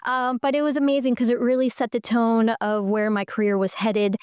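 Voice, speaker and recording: A middle-aged woman from Colombia with a fairly high, clear, smooth voice. She tells her story in an animated, enthusiastic way. The sound is dry and close. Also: brisk, no room sound. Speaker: guest. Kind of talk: conversational interview storytelling.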